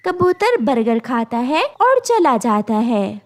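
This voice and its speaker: A woman's voice: fairly high pitch, sing-song, takes it slowly. A woman narrating a scripted story for children.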